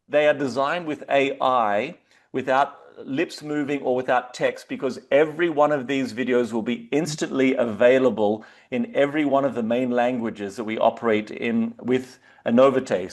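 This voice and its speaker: A man with an Australian accent hosting a webinar, talking loosely and casually with frequent fillers. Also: mid-range voice. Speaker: webinar host. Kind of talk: casual presentation.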